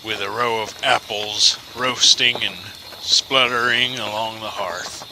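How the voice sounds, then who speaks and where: A man narrating an old tale for an audio drama in a measured, dramatic storybook style. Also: fairly low pitch, fairly even intonation, noisy background.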